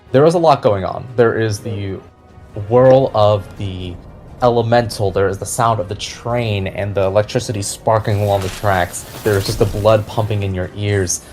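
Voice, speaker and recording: Low-voiced man running a tabletop game. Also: darkish tone. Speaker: game master. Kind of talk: narrating a tabletop fantasy game. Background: background music.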